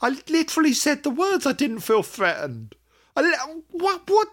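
A man's voice: very high voice, huge pitch swings, moderate pace, fairly bright tone. Rambling middle-aged man chatting. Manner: hesitant, rambling, self-correcting. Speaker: podcast host. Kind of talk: casual chat about music fandom.

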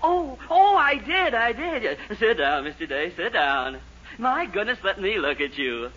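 An American actor playing a fussy, excitable shoe-store owner in a 1940s radio comedy, stammering and repeating himself. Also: male voice, high voice, unhurried. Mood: gushing. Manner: fluttery, stammering, repeats phrases. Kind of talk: 1940s radio sitcom acting. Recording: muffled, noisy 1940s radio recording.

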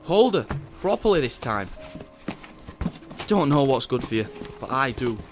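A man's voice: mid-range voice, very animated, measured pace, over music. A man playing a smug, menacing government agent in an audio drama, speaking with condescending emphasis. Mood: bitter, indignant.

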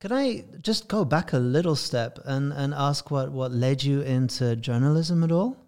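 A man's voice: mid-range voice, expressive, moderate pace, a little mellow. A man with an English accent, hosting a podcast and interviewing in a conversational style. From England.

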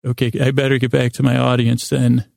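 Male bedtime podcast host: gravelly and dry-sounding, halting, goofy, meandering improvised monologue. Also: mid-range voice, level tone, a little mellow, no room sound. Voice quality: creaky, gravelly.

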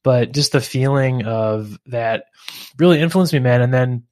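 A man from the Philadelphia area in casual conversation, easygoing and relaxed, tossing in slang like "dude" and "man.". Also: mid-range voice, unhurried, no room sound, studio quality.

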